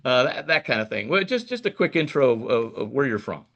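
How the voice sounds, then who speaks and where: A man's voice: medium pitch, very animated, brisk, a bit bright. A man hosting a podcast interview, chatting casually and rambling with many restarts. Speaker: podcast host.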